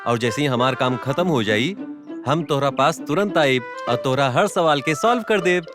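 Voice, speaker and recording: A man playing a busy, doting father in an animated cartoon, reasoning and placating, with a Bhojpuri accent. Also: medium pitch, moderate pace, over music, natural voice.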